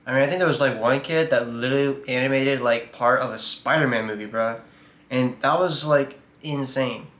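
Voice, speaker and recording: A man's voice: mid-range voice, normal speed, fairly bright tone. A man hosting a reaction video, chatting loosely and joking, with casual swearing. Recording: roomy echo, faint noise.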